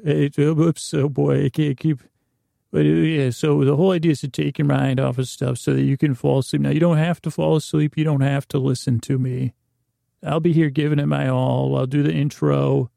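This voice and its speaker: A man hosting a bedtime podcast in a creaky, lulling tone, meandering and halting with long pauses. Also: mid-range voice, dark tone, no echo, clean studio sound. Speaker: podcast host. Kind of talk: rambling bedtime-story podcast monologue.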